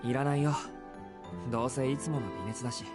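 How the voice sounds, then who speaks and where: A man playing a mild, considerate high school boy in a Japanese visual novel. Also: rapid-fire, natural voice. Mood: mild, reassuring. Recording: loud game music behind. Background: game music.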